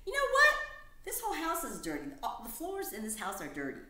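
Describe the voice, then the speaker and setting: A woman's voice: fairly low pitch, big pitch swings, a little fast, fairly bright tone, a little hiss or hum. A woman voicing a polite, particular little male mouse in a children's story, chatty and eager. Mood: surprised. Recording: roomy echo.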